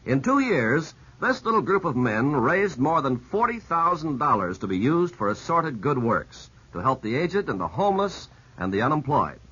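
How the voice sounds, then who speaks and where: A man working as a 1950s radio announcer, reading scripted narration in an earnest, declamatory way with a raspy edge. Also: mid-range voice, big pitch swings, moderate pace, hardly any pauses, bright, forceful tone. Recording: dull 1950s radio recording.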